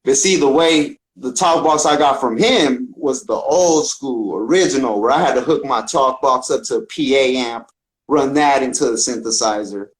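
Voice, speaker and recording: A man's voice: medium pitch, normal speed, low-quality recording. A man speaking as a podcast guest and musician in a casual, slangy, profane conversational style. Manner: slangy, profane, often says "man" and "bro".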